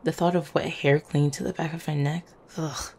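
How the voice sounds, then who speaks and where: A woman playing an affectionate, playful vampire girlfriend in an intimate ASMR roleplay, teasing gently with small hesitant stammers. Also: low-pitched, steady tone, a little fast. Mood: casual, affectionate. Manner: soft-hearted, teasing, hesitant little stammers and chuckles.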